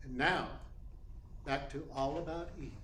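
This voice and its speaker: A man playing an eager 1940s radio announcer pitching soap in an old-fashioned advertising style. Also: a little slow, lightly acted. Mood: upbeat selling. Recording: echoey room with faint noise.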